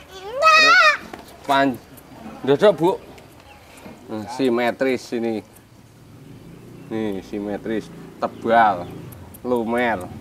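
A young man with a Javanese accent, chatting casually through an outdoor farm tour, relaxed and jokey, recorded on a lapel mic. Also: medium pitch, noisy background. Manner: relaxed, chatty, mixing in local words, jokey asides. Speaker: goat farm vlogger. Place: outdoor village yard with goats. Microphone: small lapel mic.

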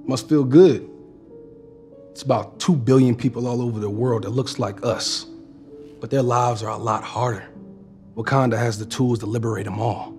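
A man's voice: mid-range voice, steady tone, normal speed, a little mellow, faint music behind, lightly acted. Man playing a defiant American revolutionary. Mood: confrontational. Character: defiant, resentful young male revolutionary. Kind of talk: naturalistic film acting.

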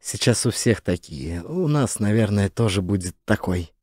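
A man's voice: mid-range voice, darkish tone, no echo, studio quality. A man narrating a scripted satirical story as an audiobook actor.

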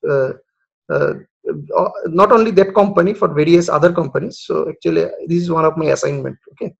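A man's voice: fairly high pitch, a little fast, creaky, highs cut off. A man from Bangladesh, a freelance recruitment consultant, conducting a job interview over a video call with many hesitations.

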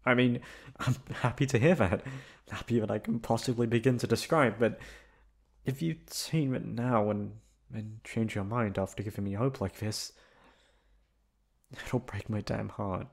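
A lovestruck young delinquent, acted by a man, speaks tenderly to his best friend in an intimate ASMR roleplay. His voice is fairly low with plenty of gravelly fry, and his delivery is halting and hesitant. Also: full character voice. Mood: hesitant, vulnerable.

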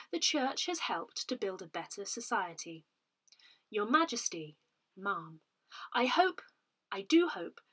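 A woman reading a newsletter aloud in a measured, expressive manner, as a volunteer narrator. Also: fairly high pitch, breathy edge. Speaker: volunteer reader of a parish newsletter.

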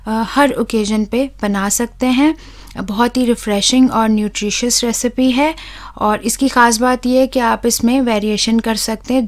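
A young woman hosts a cooking video and walks the viewer through each step. Her voice sits a little on the high side. She speaks steadily and clearly, close to the mic in a voice-over. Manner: steady, instructive, addresses viewers directly. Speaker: cooking channel host. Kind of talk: voice-over recipe tutorial.

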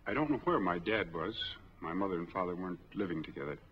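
An American actor in his forties playing a smooth, easygoing drifter in a 1940s film drama. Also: deep voice, animated, fast. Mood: earnest, measured. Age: 40s. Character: smooth, easygoing drifter applying for bank money. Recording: dull old 1940s film soundtrack.

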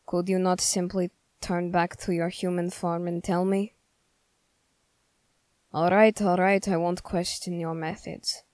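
This is a stern, guarded young soldier of the snowy north , acted by a woman in an ASMR roleplay. The voice sits on the low side and stays very flat. The words come very slowly, in formal, halting phrases spoken straight to the listener. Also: dry recording. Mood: puzzled, yielding. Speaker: roleplay voice performer.

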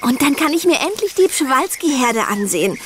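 A woman's voice: high-pitched, sing-song, fairly quick, a bit bright, a little hiss or hum. An actress playing an eager, curious, horse-loving teenage girl in a German children's audio drama.

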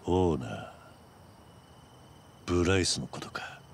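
A man's voice: low-pitched, animated, takes it slowly, creaky, slight background noise, exaggerated voice. An actor playing a cool, commanding veteran yakuza man in a video game, terse, blunt and controlled. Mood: cool, probing. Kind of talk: Japanese video game cutscene acting.

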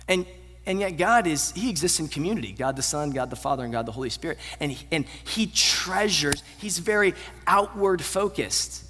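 A man in his thirties delivers a sermon with a fairly high voice. He speaks earnestly, pushing up to emphatic peaks and then falling away to quiet, low endings. His voice carries a long reverb from a large church auditorium. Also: lively intonation, moderate pace. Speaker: pastor.

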